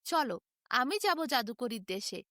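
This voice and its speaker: A woman's voice: a little high, very animated, measured pace, sharp tone, no echo, clean studio sound, full character voice. A woman voicing a kind, brave young village girl in a storybook, earnest and confident. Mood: determined. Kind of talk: storybook character voice.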